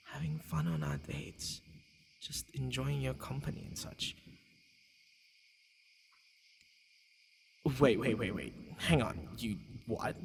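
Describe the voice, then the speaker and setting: A man playing a centuries-old vampire lover, tender, possessive and flustered, halting and sighing in an intimate ASMR romance roleplay with night insects faintly behind him. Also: medium pitch, a little hiss or hum, exaggerated voice. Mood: flustered, disbelieving. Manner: halting, stammering, breathy sighs.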